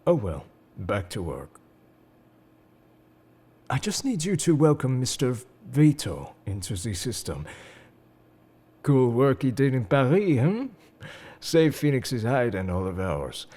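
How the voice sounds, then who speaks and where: A man playing a suave, enigmatic, quietly world-weary secret agent in an intimate, flirtatious audio roleplay, speaking to the listener in a coaxing, theatrical way. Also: mid-range voice, big pitch swings, slow pace, pauses often, heavily acted. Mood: suave, confiding. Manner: smooth-talking, coaxing, theatrical. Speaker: voice actor in fan audio roleplay.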